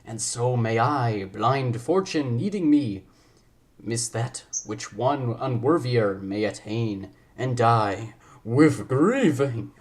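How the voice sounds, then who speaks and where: A man's voice: medium pitch, animated, slow. A man playing a proud foreign prince wooing a lady, declaiming Shakespeare grandly in an amateur stage reading. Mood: bombastic boasting.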